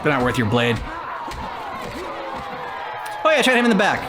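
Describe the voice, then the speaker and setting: A man's voice: a little high, big pitch swings, fairly quick, a crowd in the background, background noise. A man giving casual reaction commentary as host of a gaming video. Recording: game music and voices behind.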